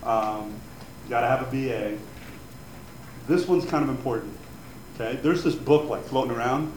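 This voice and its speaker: A man's voice: mid-range voice, normal speed, a little mellow. A man born in Cuba, a native Spanish speaker, talking informally to students about a grant program, chatty and rambling with many fillers and restarts. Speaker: advisor giving an info session. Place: room with an audience. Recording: echoey room, faint background noise.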